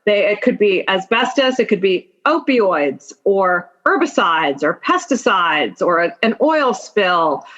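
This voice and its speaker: A woman's voice: medium pitch, sing-song, unhurried, hardly any pauses, fairly bright tone, muffled recording. A woman in her fifties speaking as an interview guest, telling personal stories conversationally with emphatic repetition of key phrases. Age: 50s.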